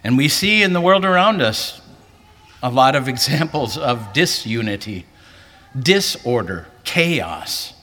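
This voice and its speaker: Male pastor, earnest sermon delivery, bright and forceful, reverberant convention hall. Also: big pitch swings, a little slow. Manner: earnest, rising pitch for emphasis. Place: large hall, preaching to a convention.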